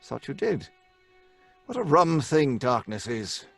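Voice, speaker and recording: A man playing a dry, teasing English gentleman in an old-fashioned radio drama, clipped and with an English accent. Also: mid-range voice, very animated, slow pace, gravelly, breathy edge, soft background music, heavily acted. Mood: edgy, dry. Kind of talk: 1930s-style radio drama acting.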